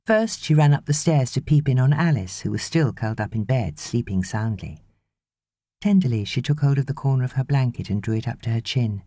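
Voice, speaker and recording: A woman with a British accent reading fiction as an expressive audiobook narrator. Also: a bass voice, a little fast, dry recording.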